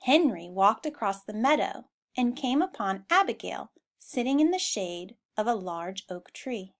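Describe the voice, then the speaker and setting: A woman reading a children's storybook aloud in an expressive style. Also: mid-range voice, a little slow. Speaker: storybook reader.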